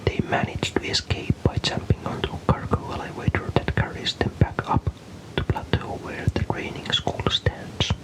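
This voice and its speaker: Guy from finland doing asmr storytime, all soft breathy whisper, calm and unhurried narration. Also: low-quality recording.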